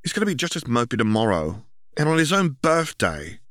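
A man's voice: mid-range voice, sing-song, brisk, fairly bright tone, dry recording, studio quality, voice pushed up. A man voicing a spirited, blunt teenage girl, a cheeky younger sister, in an audiobook story. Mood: bright, insistent. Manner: blunt, interrupting.

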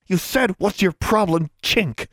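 Man doing a snappish, higher-voiced schoolgirl. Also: very animated, brisk, dry recording, clean studio sound. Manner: snappish, accusing. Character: prickly, defensive schoolgirl roommate.

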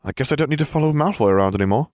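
A man's voice: mid-range voice, animated, racing, darkish tone, no room sound, clean studio sound, voice pushed up, lightly acted. A man voicing an indignant, bookish teenage girl in a storybook reading, snappish and exasperated. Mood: puzzled.

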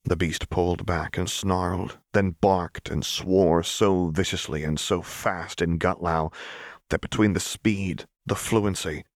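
A man with a low voice narrates dramatic fiction. He reads from a script as an audiobook narrator, recorded very dry with no room sound. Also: steady tone, unhurried, dry recording.

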